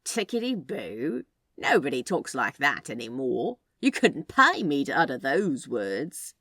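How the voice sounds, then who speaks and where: A woman's voice: rumbling low, big pitch swings, unhurried, pushed and bright, dry recording, clean studio sound, full character voice. A woman voicing a cool, teasing, flirtatious man with a sardonic, drolly dramatic drawl, in a storybook reading. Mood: mocking. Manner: drawling, mock-mournful, sardonic.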